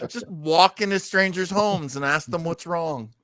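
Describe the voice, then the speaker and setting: A man's voice: on the high side, fairly bright tone, dry recording, clean studio sound. A man co-hosting a show with casual, jokey comic commentary. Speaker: show co-host.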